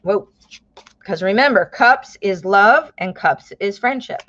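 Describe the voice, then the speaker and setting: A woman's voice: medium pitch, animated, slow. American woman, tarot reader on a live stream, chatty and affectionate, slightly dull lo-fi sound. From United States. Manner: chatty, warm endearments, reads viewer names aloud; exclaims and laughs at cards.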